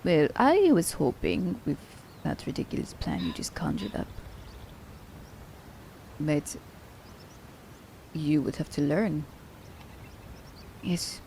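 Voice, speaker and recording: A woman playing a teasing, worldly female assassin in an ASMR roleplay, with a gravelly, mellow voice and a playful, unhurried delivery. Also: low voice, huge pitch swings, slight background noise, exaggerated voice. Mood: relaxed, teasing. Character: teasing, worldly female assassin fond of her werewolf captive.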